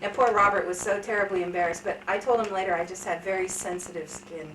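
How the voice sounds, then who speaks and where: A woman's voice: mid-range voice, steady tone, fast, fairly bright tone. A woman in her thirties chats openly and laughs at herself while she tells family stories. Her voice sounds a little distant and bounces around an echoey room. A faint hiss sits underneath. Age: 30s. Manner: chatty, candid storytelling with self-deprecating humor. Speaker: interviewee. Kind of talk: casual family interview.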